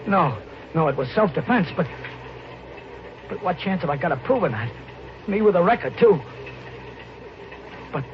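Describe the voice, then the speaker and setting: An actor playing a desperate, guilt-haunted drifter in a 1950s radio drama, narrating in a tense, halting, colloquial American voice. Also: male voice, a little high, noisy background. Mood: panicked. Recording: muffled 1950s radio recording, music behind.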